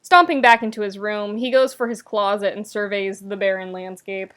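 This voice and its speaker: A woman reading a story aloud as narrator, with expressive, wry delivery and dramatic emphasis. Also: mid-range voice, moderate pace, smooth. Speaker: podfic narrator. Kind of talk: expressive scripted fiction narration.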